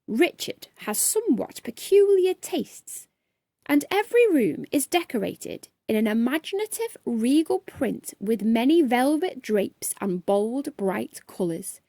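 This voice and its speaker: A woman reads a children's storybook aloud, expressively and with dramatic, deliberate emphasis. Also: fairly high pitch, very animated, warm tone, no room sound. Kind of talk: expressive bedtime story narration.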